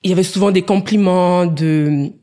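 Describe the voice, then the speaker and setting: A woman, talking as a podcast guest in a lively way with many hesitations. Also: lowish voice, moderate pace. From Guinea. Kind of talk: casual podcast chat.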